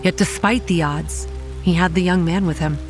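A woman playing a young campground manager, narrating a horror audio drama in a grave, measured way with a dry edge. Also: on the low side, steady tone, lightly acted. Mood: grave, haunted. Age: young adult. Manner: grave, measured storytelling with dry sarcastic asides. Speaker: narrator and lead actor. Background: quiet music and rain.

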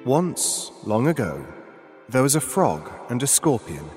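A man playing a grave storyteller, narrating a dark fable in a measured, solemn, scripted style. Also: medium pitch, big pitch swings. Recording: long reverberant echo over music. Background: music.

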